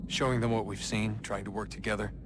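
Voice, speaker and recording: A man's voice: lowish voice, level tone, fast talker, noisy background, close to an everyday voice. An actor playing a blunt, frustrated young American man, a reluctant hero in a video game. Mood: thoughtful. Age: young adult. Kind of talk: naturalistic video game acting.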